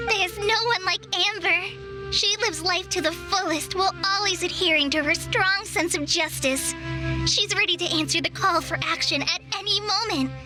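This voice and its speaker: A woman playing a shy, earnest teenage forest trainee in a video game, polite and hesitant with a youthful, girlish voice. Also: very high-pitched. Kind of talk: video game character dialogue. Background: music.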